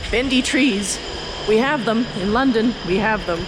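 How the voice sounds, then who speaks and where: A woman's voice: on the high side, measured pace. A woman giving casual, off-the-cuff walking commentary as a video host, chatty and rambling with wry asides to herself. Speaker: host and tour guide of a city walk video. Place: out and about at a London skyscraper and its streets. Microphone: handheld camera on a stick. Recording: noisy outdoor ambience with city traffic.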